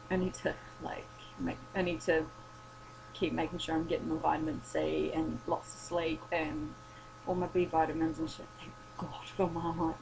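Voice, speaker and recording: A young woman talks in a low, worn, tired voice. She rambles and swears casually, losing her voice a little. A steady hum runs under the home recording. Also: gravelly. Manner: rambling, sweary, self-deprecating. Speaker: vlogger. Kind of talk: casual late-night chat.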